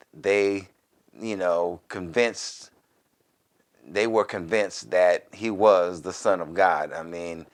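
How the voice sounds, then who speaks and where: Slow-speaking, clear-voiced male minister. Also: lowish voice. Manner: deliberate, preacherly, with quieter conversational asides. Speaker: minister, Bible teacher. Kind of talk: scripture reading with informal sermon commentary.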